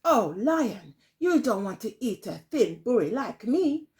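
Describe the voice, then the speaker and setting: A woman's voice: a little high, very animated, unhurried, darkish tone. A woman voicing an anxious old mother in a children's tale, pleading in a plaintive sing-song.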